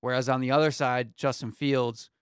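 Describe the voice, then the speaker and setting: A man's voice: mid-range voice, normal speed, clean tone, no echo. A young man in his twenties co-hosting a casual sports talk show in a small studio, running through game scores with plenty of ums. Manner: runs through scores in a steady rundown, many ums. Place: small studio with foam panels. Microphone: studio mic on arm, headphones.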